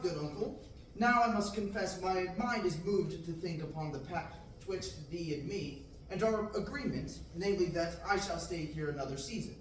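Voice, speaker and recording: Young man as a dreamy farm boy, high voice, echoey classroom from across the room, faint hiss. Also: far from the mic. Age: young adult. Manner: earnest, declaiming, occasional slips. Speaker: student actor at a table reading. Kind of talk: reading Shakespearean verse aloud. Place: large classroom, indoors. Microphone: far camera.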